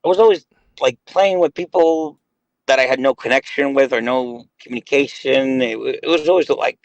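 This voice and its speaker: A man's voice: mid-range voice, moderate pace, dry recording, highs cut off. A man in his late thirties chatting casually on a voice call, rambling and hesitant with many fillers. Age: 30s. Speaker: gaming guest. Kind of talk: casual chat.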